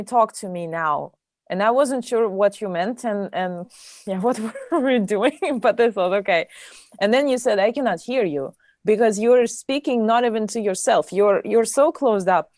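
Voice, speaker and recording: A woman's voice: darkish tone, no echo, studio quality. A woman in her thirties hosting an interview, reminiscing about her lessons and laughing often as she talks. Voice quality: low voice. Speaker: interviewer, host. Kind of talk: casual interview chat.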